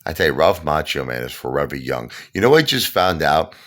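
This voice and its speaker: A middle-aged man with a New York accent talks in a very low, deep voice. He rambles through casual chat, stacking fillers and restarts as he goes. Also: fairly quick. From Brooklyn, New York. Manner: rambling, animated storyteller with many fillers. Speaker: channel host.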